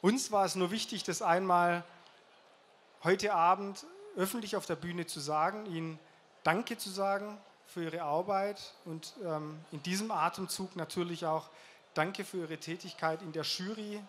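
A man in his forties giving a halting, earnest speech on stage at an awards gala, speaking into a handheld microphone in a large hall. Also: sits high, a bit bright, a little hiss or hum. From southwestern Germany. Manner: earnest, halting, with fillers and restarts.

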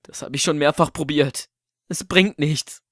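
A man playing a lonely, apologetic man trapped at home in a horror audiobook, halting and resigned. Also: fairly high pitch, fairly even intonation, a bit bright, dry recording, clean studio sound.

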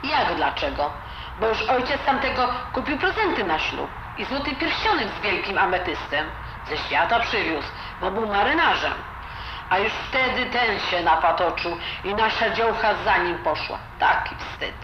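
A woman's voice: mid-range voice, sing-song, normal speed, close to an everyday voice. A woman playing a blunt, disapproving old grandmother with a Silesian accent and grumbling, dialect-filled talk in an audio drama. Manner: grumbling, colloquial, full of dialect words. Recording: roomy, dull, noisy home recording.

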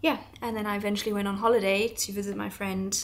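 Chatty South African woman with vocal fry. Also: medium pitch, little pitch movement, fast talker. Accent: South African with some English. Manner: rambling, chatty, laughs often. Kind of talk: casual chat to camera. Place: home workshop, small room indoors.

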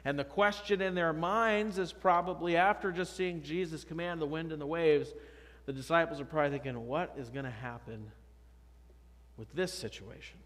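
A man's voice: a little high, normal speed, a bit bright. Man preaching in a reverberant church. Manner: conversational, emphatic preaching with rhetorical questions. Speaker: pastor. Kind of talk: sermon. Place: church sanctuary, at a pulpit. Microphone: pulpit microphone, far camera.

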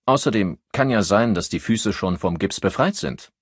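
A man's voice: on the low side, lively intonation, moderate pace, husky, dry recording, clean studio sound. A man voicing a cautious, dutiful male detective in an audiobook, reporting facts carefully. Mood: cautious, factual. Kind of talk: audiobook character dialogue.

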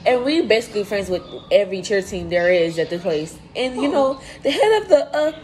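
A young woman vlogging from a dorm room on a handheld phone, chatty and rambling, her voice hoarse and worn out. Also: medium pitch, very animated, brisk, darkish tone. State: very tired. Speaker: vlogger at cheer camp. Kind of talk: casual selfie vlog chat. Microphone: phone held close at arm's length. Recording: noisy phone recording.

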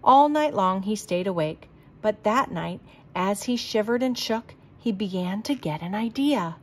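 Expressive woman reading a children's story. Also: medium pitch, a little hiss or hum. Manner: deliberate, expressive. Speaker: storybook reader. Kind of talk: children's story read-aloud.

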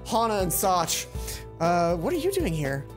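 A man's voice: sits high, huge pitch swings, a little slow. A young man streaming a visual novel, reading the story aloud on a headset mic with playful, stumbling asides. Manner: playful, self-mocking, stumbles over words. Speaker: let's play streamer. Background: game music.

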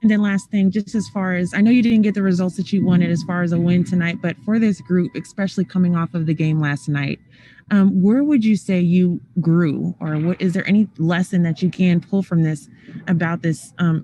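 A woman's voice: fairly low pitch, fairly quick, dark tone, slight background noise. A female sports reporter asking long, rambling questions over a remote call. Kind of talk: press conference questions.

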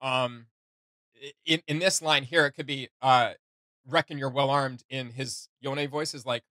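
A man's voice: mid-range voice, steady tone, unhurried, pushed and bright, dry recording. A young man giving casual reaction commentary, hesitant as he gropes for the right words. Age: young adult. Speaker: reaction video host. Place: small home studio room.

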